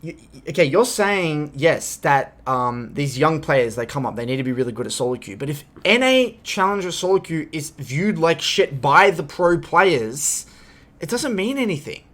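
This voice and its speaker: A man co-hosting a podcast, talking in an animated, insistent way and acting out hypotheticals in casual debate. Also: fairly high pitch, normal speed. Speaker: podcast co-host. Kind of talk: casual heated discussion.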